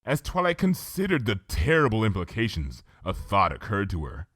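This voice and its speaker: A man reading a story aloud as an expressive scripted narration. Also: on the low side, normal speed, no room sound, clean studio sound. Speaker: fan fiction reader.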